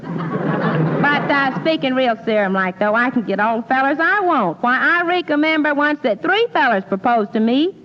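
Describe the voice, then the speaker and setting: An actress playing a sassy, scatterbrained young boardinghouse keeper in a 1940s radio comedy, with folksy malapropisms and comic timing. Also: female voice, sits high, animated, moderate pace, in a large hall. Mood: coy boasting. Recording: muffled, hissy old radio recording.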